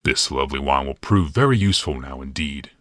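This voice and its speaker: A cold, scheming male villain, acted by a man, for an audiobook. He mutters placidly in an extremely deep voice with a crisp, forceful edge, recorded very dry. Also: expressive, dry recording. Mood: cool, calculating. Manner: muttering to himself, placid, measured. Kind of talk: audiobook character voice.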